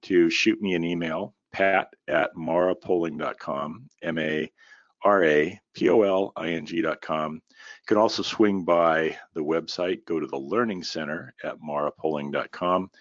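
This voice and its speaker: Man, very low voice, slightly raspy, tired, folksy podcast host, dull dry recording. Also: no room sound. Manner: folksy, with many fillers and baseball analogies. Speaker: podcast host and company founder. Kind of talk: solo business podcast monologue.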